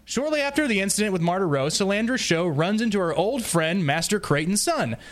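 A man with a somewhat high-pitched voice reads from a prepared summary for a podcast. He stumbles here and there and slips in informal asides as he goes. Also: lively intonation, a little fast. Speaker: podcast guest presenter. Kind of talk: reading a prepared plot summary.

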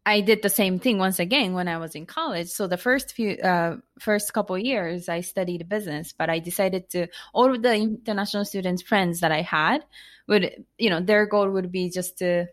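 Chatty Japanese-accented woman, low voice. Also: moderate pace. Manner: chatty, with many fillers, restarts and laughs. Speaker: guest author and entrepreneur. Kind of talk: casual interview conversation.

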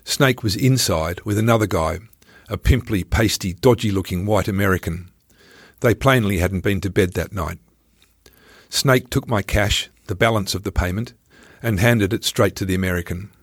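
A man's voice: fairly low pitch, normal speed, husky, no echo, clean studio sound. A man reading his own travel memoir aloud as scripted first-person narration.

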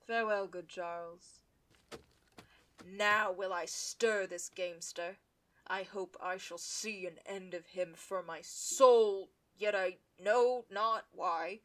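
A woman playing an envious, scheming young nobleman in a Shakespearean radio play, with a theatrical, insinuating, deliberate delivery. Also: medium pitch, bright, forceful tone. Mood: brooding, scheming.

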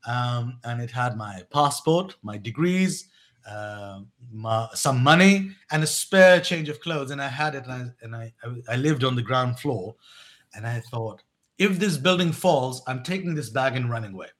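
A man in his thirties, born in Pakistan and raised in England, calmly recounting his past as a guest on an interview show, with frequent restarts. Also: medium pitch. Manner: reflective, measured, with many restarts. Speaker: guest, education company co-founder. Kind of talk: storytelling in a podcast interview.

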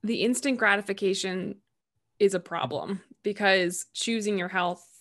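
A woman's voice: mid-range voice, a little slow, fairly bright tone. A woman health coach talking in a casual conversation, chatty and full of "like" and "you know".

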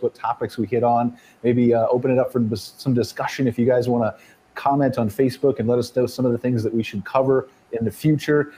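Upbeat man hosting, mellow voice. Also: medium pitch. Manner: upbeat, joking. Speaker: host. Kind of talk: casual chat.

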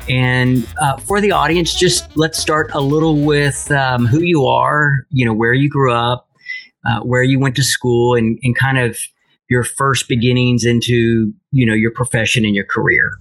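A man hosting a podcast who reads a scripted guest introduction smoothly, then asks questions loosely with fillers. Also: mid-range voice, fairly even intonation, measured pace, a bit bright, soft background music. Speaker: podcast host. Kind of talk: scripted intro and ad read, then casual interview.

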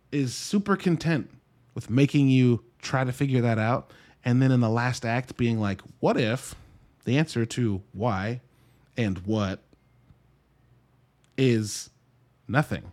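A man's voice: mid-range voice, animated, slow pace, pauses often, darkish tone. A man giving a casual solo movie review in a conversational, wry way, breaking into his own thoughts with dry asides. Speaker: podcast host and movie reviewer.

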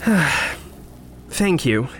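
An actor playing a troubled, self-blaming mage in training, hesitant and polite, in a naturalistic audio drama. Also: male voice, a little high, sing-song, drawn-out words, music in the background, exaggerated voice. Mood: pained.

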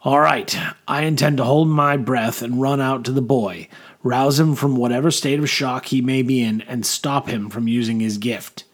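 A man voicing a tall, rugged army major in an audiobook, calm and resolute, with formal, authoritative military speech. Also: mid-range voice, normal speed, lightly acted. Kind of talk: audiobook character dialogue.

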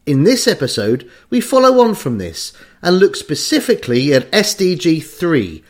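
Male podcast host, scripted read, slightly high, animated intonation. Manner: clear, measured read-out delivery.

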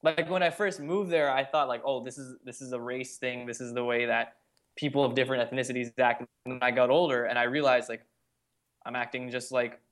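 A man's voice: mid-range voice, steady tone, fairly quick, a bit bright. Earnest young man telling his story. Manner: earnest storytelling, frequent "like" fillers. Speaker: podcast guest. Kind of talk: casual conversation.

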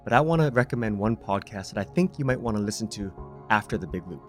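Slightly low-pitched man hosting a podcast. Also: lively intonation, quick pace, soft background music. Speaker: podcast host. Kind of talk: podcast announcement and personal recommendation read.

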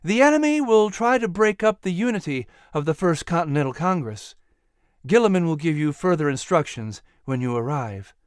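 A man's voice: a little high, very animated, normal speed, clean tone, no room sound, studio quality. A man voicing a grave, determined young woman disguised as a militia soldier. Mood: resolute. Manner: earnest, solemn.

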